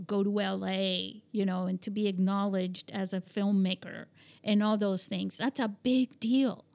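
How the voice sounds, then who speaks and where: A woman's voice: mid-range voice, vocal fry, darkish tone. A woman from Spain hosting an interview, rambling with many fillers and restarts. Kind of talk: conversational interview.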